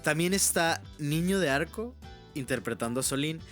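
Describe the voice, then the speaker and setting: A man's voice: on the high side, expressive, moderate pace, over music. A man delivering scripted documentary narration as a video essayist.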